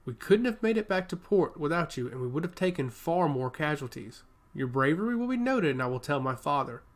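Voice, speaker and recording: A man's voice: a little high, sing-song, fairly quick. A man voicing a humble, protective island prince in an earnest, reassuring manner. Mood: grateful.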